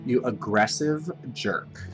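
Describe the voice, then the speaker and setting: Young man, streamer, rambling, self-mocking, mid-pitched, game music underneath. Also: slow. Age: 20s. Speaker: Twitch streamer. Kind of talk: casual gameplay commentary.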